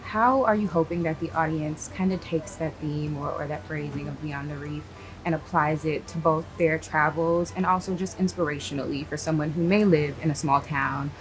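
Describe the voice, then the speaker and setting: A woman's voice: sits low, steady tone, moderate pace. A woman interviewer asking questions in an enthusiastic, chatty way with fillers. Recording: noisy background ambience.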